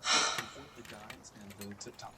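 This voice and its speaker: A man's voice: on the low side, measured pace, echoey room, distant mic, very noisy, close to an everyday voice. An actor in a radio drama playing an educated, ambitious male doctor, patient but proud and irritable, often hesitant and muttering. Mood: patient, instructive. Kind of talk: radio drama acting with inner monologue.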